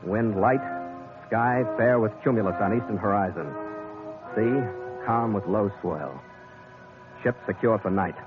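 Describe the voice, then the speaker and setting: A man's voice: lowish voice, a little slow, long pauses, over music. A man narrating as a ship's captain in an old radio drama, wry and dry. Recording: tinny old radio recording.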